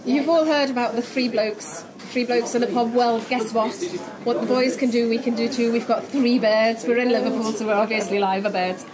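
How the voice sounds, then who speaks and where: A middle-aged woman hosting a casual podcast chat, chatty and quick to laugh. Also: fairly high pitch, fairly even intonation, rough recording. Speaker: podcast host. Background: crowd chatter.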